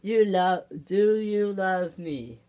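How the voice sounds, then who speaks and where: Woman doing a babbling, slow-talking cartoon toddler boy. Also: medium pitch, dark tone, a little hiss or hum, close to an everyday voice. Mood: needy. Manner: slow, repetitive baby talk. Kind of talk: improvised character play vlog.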